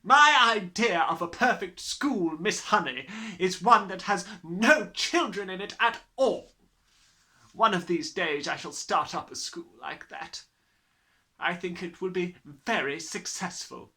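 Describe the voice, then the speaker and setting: A reader voicing a huge, bullying female headmistress with a booming, contemptuous delivery, pitched well above the reader's own voice. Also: unhurried, bright, forceful tone. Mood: scornful.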